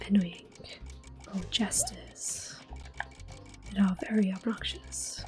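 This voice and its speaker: Woman, ASMR game host: soft-spoken to whispered, slow and gentle, close mic, music behind. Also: mid-range voice, fairly even intonation. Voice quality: soft, breathy, often whispered. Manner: gentle, unhurried. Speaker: gameplay host. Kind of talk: ASMR gaming commentary.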